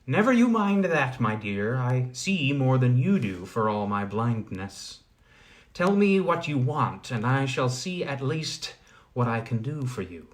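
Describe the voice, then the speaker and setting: A blind old woman from a storybook, wise and brisk, who scolds kindly and calls you dear, as a male reader puts her on. She talks higher and louder than the reader's own voice, and her pitch moves around a lot. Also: sing-song. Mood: scolding kindly.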